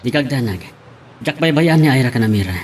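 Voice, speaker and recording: A man's voice: medium pitch, animated, fast, slight background noise, close to an everyday voice. A man with an Ilocano Filipino accent playing a reassuring yet scheming family friend and godfather in an audio drama. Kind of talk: Ilocano radio-style drama acting.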